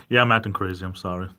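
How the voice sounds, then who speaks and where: A man's voice: deep voice, sharp tone. A man from Rhode Island with a Rhode Island accent, chatting casually and rambling self-deprecatingly as he hosts a livestream from home. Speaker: livestream host.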